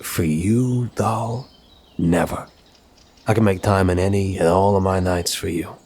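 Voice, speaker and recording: A man playing an apologetic, flirtatious mafia boss in an intimate ASMR roleplay, speaking in a courteous, teasing, slightly halting way. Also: low voice, fairly even intonation, a little mellow, husky. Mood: flirtatious, relaxed.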